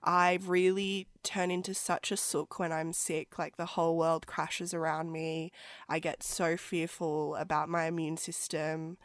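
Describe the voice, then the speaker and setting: A woman's voice: sits low, level tone, a little slow, no echo, studio quality. A woman co-hosting a podcast in casual, chatty, self-mocking talk, laughing often and nursing a cold. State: has a cold. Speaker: podcast co-host. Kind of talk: casual chat.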